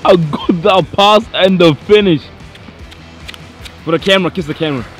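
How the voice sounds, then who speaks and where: A man's voice: high-pitched, normal speed, faint music behind. A man bantering casually from the sideline, teasing and repeating his phrases. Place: outdoor sports pitch at night.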